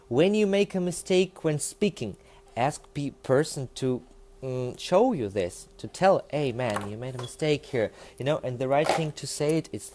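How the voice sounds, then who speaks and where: A young man with a Russian accent, a native Russian speaker, talking to the camera in a casual, animated and emphatic way, with many fillers. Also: fairly high pitch, slight background noise. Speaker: language learning vlogger.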